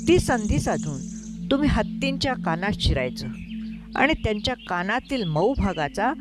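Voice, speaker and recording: A woman's voice: medium pitch, very animated, moderate pace, over music, lightly acted. A woman voicing a stern but compassionate male god who instructs firmly in a told story. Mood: resolute. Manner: firm, instructing.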